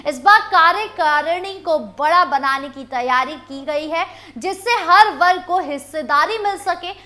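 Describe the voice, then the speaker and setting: Female news presenter, scripted bulletin read, very high pitch, bright forceful tone. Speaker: news anchor.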